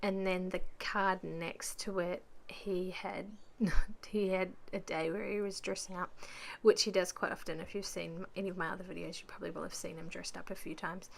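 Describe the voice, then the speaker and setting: A woman with a croaky voice chatting casually through a voice-over about her crafting, rambling with lots of ums. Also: on the low side, level tone, normal speed, hardly any pauses. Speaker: scrapbooking vlogger.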